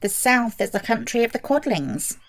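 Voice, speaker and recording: A little Munchkin man from a fairy tale, as a male narrator acts him out. He sounds high and earnest, explaining things in a helpful manner. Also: very animated, moderate pace, gravelly, fairly bright tone, full character voice. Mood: warning. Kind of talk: audiobook character voice.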